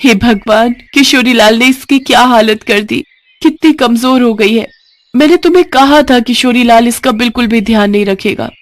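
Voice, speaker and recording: A woman's voice: on the high side, moderate pace, no room sound, voice pushed up, close to an everyday voice. A woman voicing a devoted, poor village wife, pleading and emotional, in a moral story. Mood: anxious relief. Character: worried, devoted poor village wife, plain-spoken, excitable.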